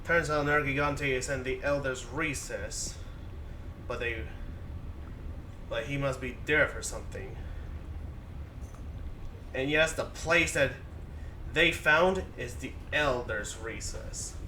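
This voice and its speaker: A man gives improvised commentary on a game's story in a bright, forceful voice. He goes slowly and haltingly, restarting phrases as he goes. There is faint noise in the background. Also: mid-range voice. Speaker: gaming video narrator.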